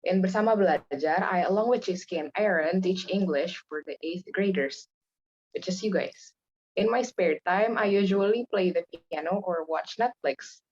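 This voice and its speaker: A woman's voice: lowish voice, measured pace, a little mellow, husky. A young woman from Indonesia introducing herself as a tutor over a video call, in a friendly, lightly scripted way. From Bontang, East Kalimantan, Indonesia. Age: young adult. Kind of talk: self-introduction. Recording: muffled lo-fi video call audio.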